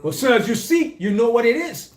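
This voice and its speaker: A man's voice: extremely high pitch, big pitch swings, fast talker. Man, echoey room. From Caribbean. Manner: keeps checking in with "you understand?". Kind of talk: casual group discussion.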